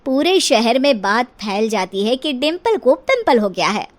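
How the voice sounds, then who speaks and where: High-voiced woman narrating a cartoon story. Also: very animated, a little slow. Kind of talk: scripted animated comic story narration.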